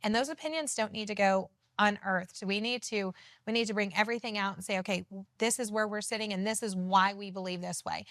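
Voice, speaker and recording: Loud, emphatic woman candidate. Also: medium pitch, fairly even intonation, a little fast, no echo, studio quality. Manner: emphatic, earnest campaign talk. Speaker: city council candidate. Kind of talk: TV studio interview.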